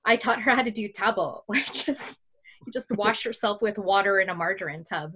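A woman from Canada, a mother, chatting as a podcast guest. Her voice sits at a middle pitch, and her delivery is playful and loose, full of laughter. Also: fairly even intonation, moderate pace, pushed and bright, husky. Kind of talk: casual chat.

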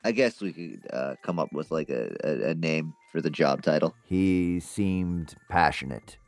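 A man's voice: rumbling low, expressive, measured pace, soft background music, heavily acted. A man playing an eager, scattered amateur ghost-hunter boss in a naturalistic comedic audio drama, hesitant and repeating himself. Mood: flustered, improvising, then confiding. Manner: hesitant, rambling, repetitive.

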